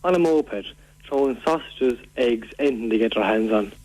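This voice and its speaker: A man's voice: medium pitch, normal speed, a little mellow, lightly acted, low-quality recording. A man with a County Waterford Irish accent playing an aggrieved, rambling local who earnestly complains as a caller on a radio phone-in. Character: aggrieved, rambling local man fed up with food-throwing youths. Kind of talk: prank call to a local radio show.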